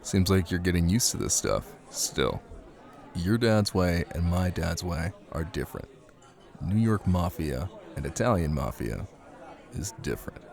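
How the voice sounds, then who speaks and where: A man acting out a menacing, possessive mafia husband in an intimate audio roleplay. His voice is very low, with heavy gravelly fry, and it moves expressively between coaxing and command, with deliberate pauses. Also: expressive, darkish tone, exaggerated voice. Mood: measured, patient. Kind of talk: intimate scripted ASMR roleplay.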